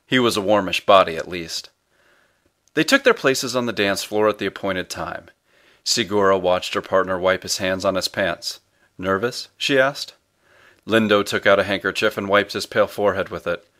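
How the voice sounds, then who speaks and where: A man's voice: low-pitched, moderate pace, fairly bright tone. A man reading fiction aloud as an audiobook storyteller. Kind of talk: scripted audiobook narration.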